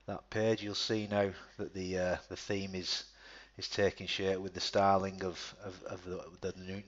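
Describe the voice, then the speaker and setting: A man with a low, even voice guides viewers through a software setup. He talks step by step, often restarting and filling gaps with "uh". The voice-over sounds a little dull and lo-fi. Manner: step-by-step, many restarts and fillers. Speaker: tutorial presenter. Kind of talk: screen-recorded software tutorial.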